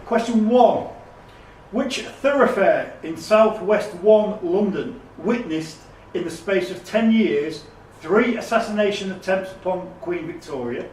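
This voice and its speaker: High-voiced male quizmaster in an echoey room. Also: measured pace, a little mellow. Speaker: quizmaster reading questions. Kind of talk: reading quiz questions aloud. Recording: echoey room, distant mic, faint noise.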